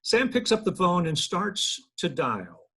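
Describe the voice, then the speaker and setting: A man's voice: high-pitched, huge pitch swings, unhurried, sharp tone, slightly rough. A man in his thirties narrating stage directions at a table read, from home over a video call. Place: living room, video call.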